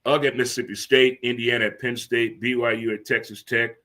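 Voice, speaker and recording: Fifties guy doing a solo sports stream, bright punchy voice, rambly and playful. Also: medium pitch, fast. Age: 50s. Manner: animated, rambling, teases himself with repeated "huh?"; talks to himself by name. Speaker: sports talk show host. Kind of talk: solo livestream sports talk. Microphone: streaming studio mic.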